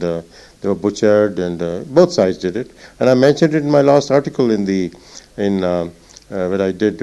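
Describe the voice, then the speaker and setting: An older man, a retired naval officer recalling the past in an interview, reflective and halting with many fillers. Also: on the low side, mellow. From Punjab. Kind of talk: oral history interview.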